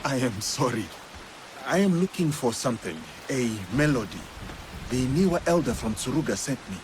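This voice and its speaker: A man's voice: medium pitch, lively intonation, noisy background, lightly acted. A man as a solemn, courteous warrior. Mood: polite, reserved. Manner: formal, restrained, measured. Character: solemn, courteous male warrior seeking answers. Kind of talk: dramatic video game cutscene acting.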